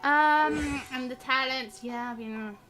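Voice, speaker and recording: A woman's voice: high voice, takes it slowly, music in the background, rough recording. A woman chatting casually and bubbly as she hosts a gaming livestream, rambling along and talking to her viewers. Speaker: livestream host.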